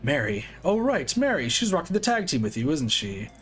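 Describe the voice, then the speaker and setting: A man's voice: fairly high pitch, big pitch swings, moderate pace, a bit bright, soft background music. A man voicing a smug, manipulative male villain who taunts with theatrical mockery. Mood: taunting. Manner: mocking and theatrical.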